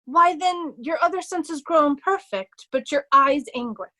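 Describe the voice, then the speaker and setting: A woman's voice: high-pitched, a little slow, pushed and bright. A woman playing a young nobleman in peasant disguise, urgently and vividly guiding, in an amateur Shakespeare reading. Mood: insistent. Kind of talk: amateur Shakespeare reader's theatre.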